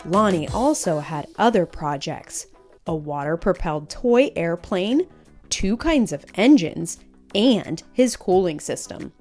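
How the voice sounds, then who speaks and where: A woman reading a children's picture book aloud in an expressive, emphatic storytelling manner. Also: fairly low pitch, slow pace, soft background music.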